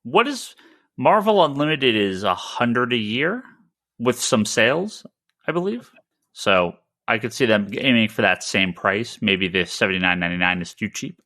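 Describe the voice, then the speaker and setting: A man's voice: mid-range voice, sing-song, moderate pace, pushed and bright, no room sound, studio quality. A man hosting a casual, informal discussion of comics news. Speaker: podcast host.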